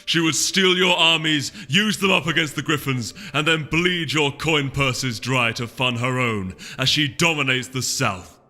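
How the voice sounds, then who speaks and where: A man's voice: medium pitch, unhurried, hardly any pauses, sharp tone, faint music behind, pitched above the performer's own voice. A man voicing a haughty, disdainful unicorn duke in a storybook reading, with a pompous, speechifying manner. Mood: indignant. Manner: pompous, oratorical.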